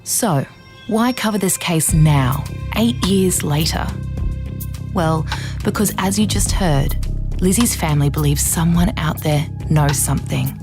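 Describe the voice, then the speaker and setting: An actress playing an earnest, composed true crime podcast host, with measured scripted narration. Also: female voice, deep voice, over music.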